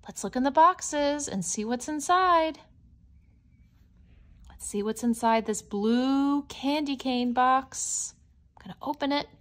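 A woman reading a picture book aloud to young children, explaining simply like a teacher, with expressive stretched words. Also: high-pitched. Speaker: storybook read-aloud presenter.

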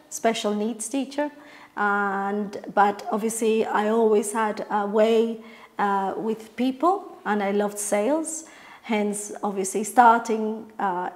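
A woman's voice: medium pitch, a little mellow. Middle-aged Colombian woman explaining her business. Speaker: real estate agent, family business owner. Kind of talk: promotional company talk to camera. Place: living room, on a sofa indoors.

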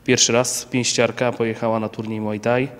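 A man in his thirties interviewing a coach outdoors, holding a handheld mic and asking steady, informed questions. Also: medium pitch, normal speed, clean tone, long reverb tail. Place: outdoors by a banner at an event.

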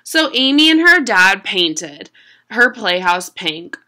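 Young woman reading slowly, lively pitch. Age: young adult. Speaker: storybook reader. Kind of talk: children's picture book read-aloud. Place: small room at home.

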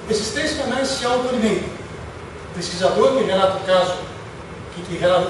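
Middle-aged brazilian guy giving a talk, emphatic and deliberate, really echoey, mic far away. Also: high voice. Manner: deliberate, emphatic, rhetorical questions, listing points. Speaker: lecturer, former UFO researcher. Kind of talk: public lecture with slides. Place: auditorium stage, indoors. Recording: echoey hall from far off, noisy.

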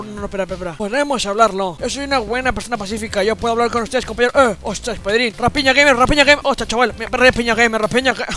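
Man doing a shrill cranky old grandpa gamer. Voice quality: high, strained old-man voice. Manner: grumbling, swearing, yelling at the game. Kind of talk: comedic gameplay commentary.

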